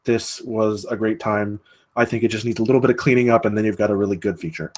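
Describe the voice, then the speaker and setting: A man's voice: fairly low pitch, fairly even intonation, fast, darkish tone. A man giving casual spoken feedback as a table read participant, rambling a little and often stammering over repeated words.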